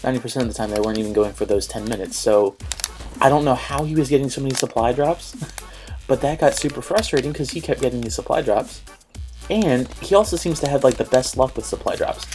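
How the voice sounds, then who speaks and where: A young man talks through his gameplay with a cold that leaves him stuffed up. His voice sits at a mid pitch, and he rambles with mild annoyance, filling gaps with likes and ums. Faint game music plays underneath. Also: fast talker, a little mellow. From Philadelphia area, United States. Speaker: gaming YouTuber. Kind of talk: casual gameplay commentary.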